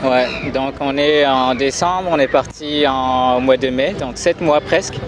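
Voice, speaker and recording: A man from France, a traveling father, answering questions in a calm, matter-of-fact way with frequent fillers. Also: medium pitch, unhurried. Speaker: interview guest, traveling father. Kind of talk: casual conversation. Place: busy city street outdoors. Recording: loud street crowd noise. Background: crowd and traffic.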